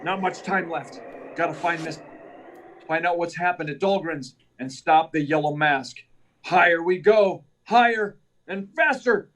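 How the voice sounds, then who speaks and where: A man's voice: on the high side, a little slow, a bit bright. A man playing an earnest, determined hero reporter in an old-time radio drama, emphatic and urgent. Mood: hurried, heroic. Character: earnest, determined male reporter, secretly a superhero.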